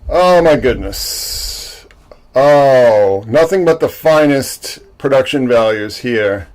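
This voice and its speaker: A man hosting a livestream in casual chat, a self-described loudmouth with a big loud voice who jokes and greets viewers by name. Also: mid-range voice, animated, slow pace. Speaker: livestream host.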